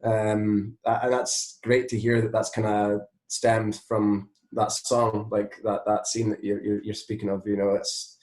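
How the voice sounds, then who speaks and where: A man's voice: fairly low pitch, flat delivery, a little slow, few pauses, a little mellow. A Scottish man chatting casually as an interview guest, rambling appreciatively with lots of "you know", in a roomy, echoing recording. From Scotland. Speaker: interview guest, musician and voice actor.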